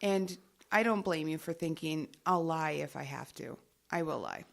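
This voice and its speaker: Government agent, low gravelly voice, dry, unflappable. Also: female voice, expressive, normal speed. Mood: wry. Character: cool secretive woman government agent. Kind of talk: audio drama acting.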